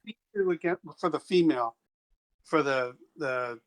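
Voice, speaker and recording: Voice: medium pitch, measured pace, poor audio. A player in a tabletop game, chatting casually and thinking aloud with hesitations.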